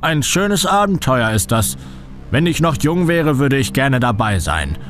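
A shady old reporter, voiced by a man, who talks in a theatrical, ominous, overdone manner. His voice sits at a middle pitch. Faint music plays under the radio play. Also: very animated, a little slow, fairly bright tone. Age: older. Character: shady older male reporter, creepy and theatrical.

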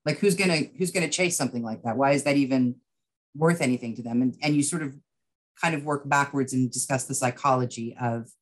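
Low-voiced middle-aged woman explaining thoughtfully. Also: a little fast. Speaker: interview guest, writer and producer. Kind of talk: conversational, explanatory interview talk. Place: indoors, book-lined room, video call.

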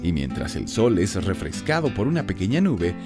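A man's voice: low-pitched, sing-song, moderate pace. A man with a Bolivian accent narrating a radio drama as its storyteller, in a dramatic scripted style. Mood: explanatory. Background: prominent music.

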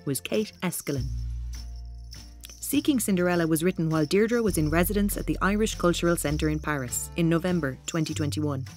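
Woman announcer, lower pitch, scripted credits read, faint guitar music behind.

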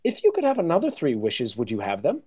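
A genial old father played by an actor in a radio play, full of curiosity. The delivery is hearty and chatty, with pitch swinging very widely up and down. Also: wildly swooping pitch, fast, warm tone, slightly rough. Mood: curious.

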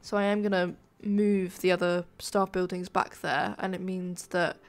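A woman giving casual, informal commentary over a game building video, sounding nasal and a bit flat from a sore throat. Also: lowish voice, moderate pace. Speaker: gaming video creator, narrator.

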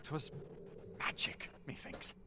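A man's voice: slow pace, background noise, lightly acted. An actor playing a cautious, dutiful male soldier in a video game, speaking in old-fashioned, earnest phrases. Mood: puzzled. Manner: archaic, earnest reporting.

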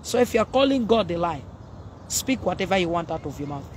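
A woman's voice: fairly low pitch, expressive, brisk, a little hiss or hum. A woman, preaching fiery and emphatic on a phone livestream outdoors. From Zambia. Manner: fiery, emphatic, jabbing with her hands. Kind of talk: impassioned preaching on a live stream. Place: outdoors by a hedge. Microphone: phone held at arm's length.

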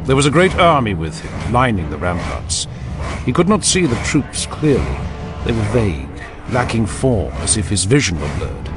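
A man narrating a dramatic audiobook in a solemn, deliberate storytelling manner. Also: on the low side, sing-song, over music.

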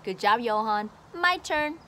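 A woman's voice: a little high, animated, sharp tone. A woman teacher in her forties reading a picture book aloud to a child, outdoors, filmed on a phone at arm's length. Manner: slow, simple, encouraging teacher talk. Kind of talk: slow read-aloud lesson for a child. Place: outdoor patio by a brick wall. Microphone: phone camera at arm's length.

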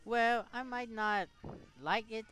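A man hosting a gaming video, reading game text aloud and commenting in short, halting reactions. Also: very high voice, steady tone, sharp tone, clean tone.

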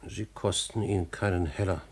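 An actor playing a bitter, grieving old court servant in a 1950s German radio drama, husky and deliberate. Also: male voice, sits low, fairly even intonation. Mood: grave. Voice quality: husky, creaky. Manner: slow, deliberate, bitterly ironic.

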